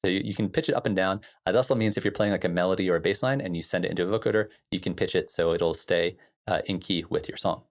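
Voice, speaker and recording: A man giving a casual software tutorial as a music production instructor, explaining things in an informal way. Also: low-pitched, fast.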